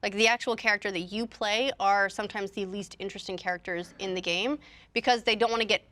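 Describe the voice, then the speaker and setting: A woman's voice: mid-range voice, fairly even intonation, fast talker, bright, forceful tone. A woman talking casually as a panelist, opinionated, with sarcastic exaggeration. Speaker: panelist on a video game movie show.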